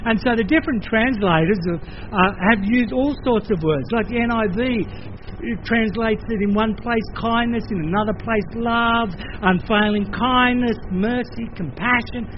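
An older man with an Australian accent, preaching a sermon in a lively conversational way, with playful asides. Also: very high voice, normal speed, lo-fi sound, slight background noise. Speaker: pastor.

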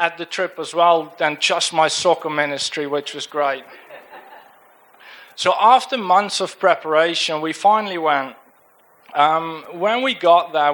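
A man's voice: on the high side, fairly bright tone. A young man with a Dutch accent giving an informal church talk about a mission trip, hesitant with many fillers and restarts. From Netherlands. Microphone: handheld microphone.

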